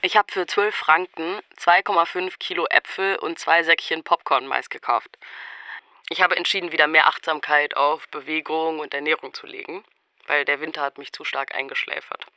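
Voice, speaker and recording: A man with a high, very bright voice narrates in a scripted diary style. The sound is close and dry. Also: fairly even intonation, normal speed, clear, resonant tone, no echo. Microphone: close, dry microphone.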